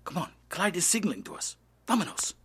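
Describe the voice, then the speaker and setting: Someone acting a sardonic survivor, wildly animated, husky. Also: medium pitch, fast talker, exaggerated voice. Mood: blunt. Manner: wry, blunt. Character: sardonic, scrappy young survivor with a bow. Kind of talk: amateur audio drama acting.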